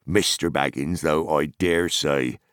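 A man voicing a gruff, middle-aged hobbit farmer in a fantasy audiobook, blunt and rustic, suspicious yet hospitable. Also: rumbling low, slow pace, a bit bright, dry recording, clean studio sound, exaggerated voice. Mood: probing, hearty. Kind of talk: dramatized fantasy audiobook character.

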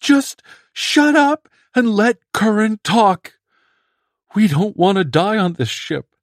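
A man voicing a pathetic, desperate male castaway who talks in a whiny, ingratiating tone and breaks into shrill screams. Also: slow, a little mellow, breathy edge, no room sound, clean studio sound. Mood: screaming. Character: pathetic male spaceship castaway, whining then threatening.

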